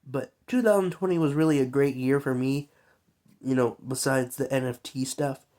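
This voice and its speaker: A man's voice: medium pitch, normal speed, darkish tone. A young man who is sick and coughs now and then, talking in a loose, rambling, slangy vlog monologue full of fillers. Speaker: vlogger.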